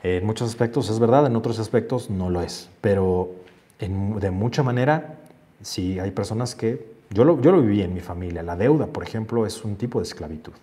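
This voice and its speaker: Man, forties, lowish voice, casual earnest talk, reads comments aloud, small office. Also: normal speed, dark tone. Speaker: host reading viewer comments. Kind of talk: casual livestream chat. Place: home office with shelves, indoors.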